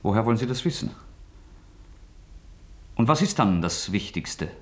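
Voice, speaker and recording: A tense young male suspect in a radio drama, with a low voice and widely swinging intonation. He is guarded, abrupt and defiant, proud in the way he insists. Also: big pitch swings, moderate pace. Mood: defiant, suspicious. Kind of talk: German radio play acting.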